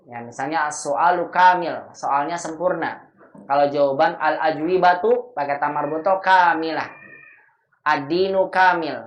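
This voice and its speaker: Man teaching in an echoey room. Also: a little high. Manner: patient, spells out words slowly. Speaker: Arabic teacher. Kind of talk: recorded Arabic grammar lesson.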